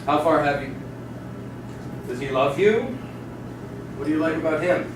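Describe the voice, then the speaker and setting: A man's voice: on the high side, moderate pace, close to an everyday voice. A man playing a crude, domineering oil prospector boss, blunt and commanding, in a staged dramatic reading. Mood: pressuring. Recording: echoing stage, distant mic, noisy.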